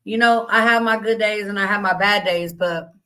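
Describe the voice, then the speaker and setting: A woman with a slightly raspy voice hosting a casual live stream, reading chat comments and talking bluntly, with plenty of profanity and laughter. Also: medium pitch, steady tone, moderate pace, sharp tone, rough recording.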